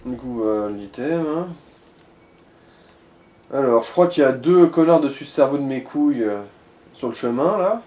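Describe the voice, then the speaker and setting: Mellow gaming co-commentator, male, roomy sound. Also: medium pitch, lively intonation, normal speed, long pauses. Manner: crude, slangy, swearing freely. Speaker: gaming co-commentator giving advice. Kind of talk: casual gameplay commentary. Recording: roomy echo, music behind.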